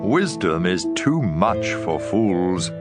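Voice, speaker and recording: An actor playing a stern sage in a dramatized scripture reading, giving emphatic warnings. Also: male voice, low-pitched, big pitch swings, slow pace, heavily acted. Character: stern male sage warning against drink and fools. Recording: music playing behind the voice. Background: guitar and instrumental music.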